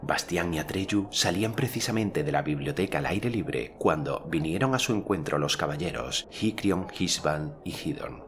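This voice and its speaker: Very deep-voiced Spanish male narrator. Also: animated, moderate pace, hardly any pauses, a bit bright, a little hiss or hum. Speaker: audiobook narrator. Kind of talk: scripted literary narration. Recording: music clearly behind the voice.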